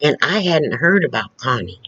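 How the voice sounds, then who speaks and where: A woman telling personal stories in a casual way, with a loud, carrying voice and a slow, halting delivery full of restarts and asides. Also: very deep voice, very animated, slightly rough, dry recording. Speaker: storyteller. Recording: dull lo-fi recording.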